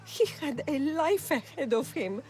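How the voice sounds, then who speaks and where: A woman's voice: sits high, animated, a little slow, a little mellow, faint music behind. An actress playing a distraught, injured mother in a video game, pleading in an anguished manner. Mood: sorrowful guilt. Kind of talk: video game cutscene acting.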